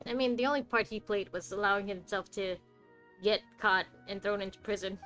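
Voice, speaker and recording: Female streamer, mid pitch, casual live gameplay commentary, chatty, reactive, faint game music. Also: a little fast, bright, forceful tone.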